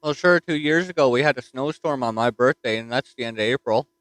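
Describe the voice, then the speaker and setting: A man with a Newfoundland accent chatting casually as a ham radio operator from his home station, relaxed and easygoing. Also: mid-range voice, a little fast, a little hiss or hum. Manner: easygoing, says "roger that".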